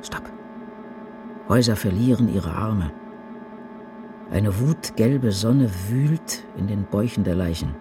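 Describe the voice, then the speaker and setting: A man reciting poetry for a radio production in deliberate, declamatory short statements. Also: on the low side. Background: music.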